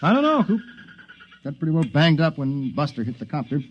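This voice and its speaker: An actor playing a practical, determined inventor who leads a stranded group, matter-of-fact and commanding, in 1950s radio drama style, on a dull lo-fi 1950s radio recording. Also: male voice, fairly high pitch, very animated, a little fast.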